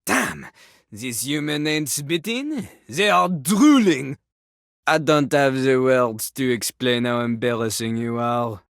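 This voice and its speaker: An actor playing a boastful French talking toilet in a video game, a pompous, theatrical rapper with a French accent. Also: male voice, mid-range voice, animated, slow pace, gravelly, a bit bright, no echo, clean studio sound, exaggerated voice. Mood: scornful. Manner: pompous, theatrical, refers to himself in third person. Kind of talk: video game character acting.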